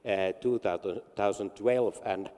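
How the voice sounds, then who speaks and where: A man, an English speaker, answering at a panel in a halting way with many fillers and restarts. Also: on the low side, steady tone, dark tone. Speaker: regional council representative. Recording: long hall reverb.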